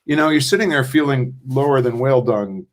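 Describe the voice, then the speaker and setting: Older man, halting and thoughtful. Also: medium pitch. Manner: halting, thinking aloud. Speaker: playwright in a post-show discussion. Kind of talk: casual, reflective discussion. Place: video call.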